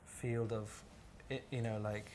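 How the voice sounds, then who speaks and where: A man's voice: on the low side, flat delivery, takes it slowly, a little mellow, a little hiss or hum. A man from Jordan talking through his thoughts at a public panel. He hesitates often, backtracks and restarts his sentences, and fills the gaps with "um" and "like". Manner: hesitant, many fillers and restarts. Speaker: artist speaker at a panel talk. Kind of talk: reflective panel conversation.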